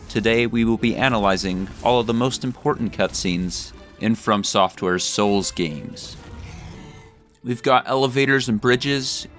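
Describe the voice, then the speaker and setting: A man's voice: on the low side, a bit bright. A man delivering scripted satirical video commentary in a mock-serious way, with exaggerated theatrical emphasis. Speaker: video creator and narrator.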